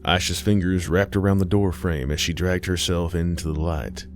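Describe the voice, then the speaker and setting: A man reading a horror story as scripted, dramatic narration, measured and suspenseful, with deliberate pauses. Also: deep voice, steady tone, faint music behind.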